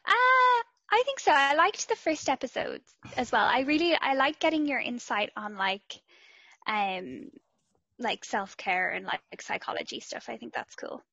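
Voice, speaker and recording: Woman, podcast guest, bright and sharp tone, hesitant, dull lo-fi call audio. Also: sits high, animated, a little slow, vocal fry, no echo. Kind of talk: casual chat. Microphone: video call.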